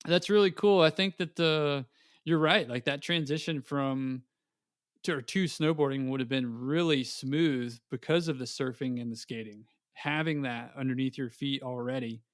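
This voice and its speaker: A man's voice: medium pitch, normal speed, dry recording, studio quality. Eager man in his thirties hosting. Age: 30s. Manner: eager, affirming, chatty. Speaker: podcast host. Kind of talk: casual interview chat.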